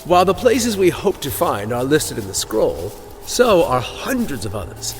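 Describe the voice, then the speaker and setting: An actor playing an earnest, scholarly cleric in a fantasy audio drama, explaining things emphatically. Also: male voice, on the high side, very animated, moderate pace, faint music behind. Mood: emphatic, exasperated.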